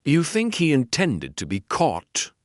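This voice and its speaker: A man's voice: fairly high pitch, huge pitch swings, a little slow, no echo, studio quality, voice pushed up. A man voicing a detective's wary male companion in a mystery audiobook, earnest and questioning. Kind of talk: dramatic audiobook character reading.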